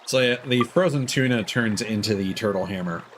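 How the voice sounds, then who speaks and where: A man's voice: on the low side, brisk, noisy background. A man giving casual, jokey commentary while streaming a video game. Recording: game music behind the voice. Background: video game music.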